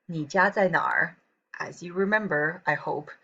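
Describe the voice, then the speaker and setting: A woman teacher with a southern Taiwanese accent and a low, husky voice. She reads practice sentences slowly and evenly and breaks off for relaxed remarks to students, on a dull lo-fi recording. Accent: southern Taiwanese Mandarin. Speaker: Chinese language teacher. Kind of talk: recorded pronunciation lesson.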